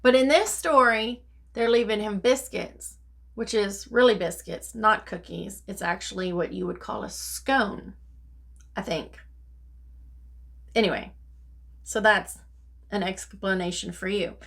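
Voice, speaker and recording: A woman telling stories to children in an expressive, theatrical, deliberate way. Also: medium pitch, creaky. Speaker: storyteller reading to children. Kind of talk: expressive storybook reading in rhyme. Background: music.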